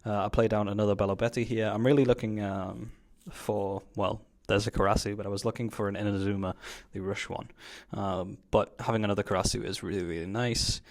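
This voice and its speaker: Lower-pitched man casually commentating card gameplay. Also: steady tone, fairly quick, hardly any pauses. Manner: informal, slangy, explanatory. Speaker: card game player commentating his own match.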